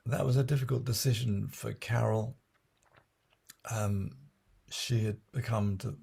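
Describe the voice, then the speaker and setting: Halting older man with a gravelly voice. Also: on the low side, darkish tone. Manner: halting, many fillers and restarts. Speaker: retired Anglican priest recounting his memoirs. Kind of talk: unscripted reflective memoir narration.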